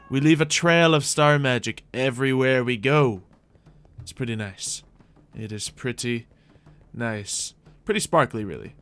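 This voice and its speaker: A man's voice: medium pitch, fairly bright tone, smooth. A man doing live gaming stream commentary with playful roleplay, rambling along in a playful, mock-grandiose way. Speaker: game streamer.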